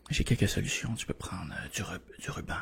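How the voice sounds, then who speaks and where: A man doing a hushed ASMR doctor roleplay, guiding the listener patiently and reassuringly. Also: low voice, sing-song, fairly quick, gravelly, breathy edge. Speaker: ASMR creator playing a doctor. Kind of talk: whispered ASMR medical roleplay.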